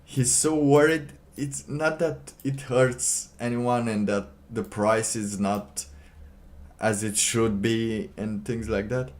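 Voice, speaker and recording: A man doing casual livestream commentary, reading aloud and reacting in a halting, sardonic way, often repeating his phrases. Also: medium pitch, steady tone. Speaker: livestream host.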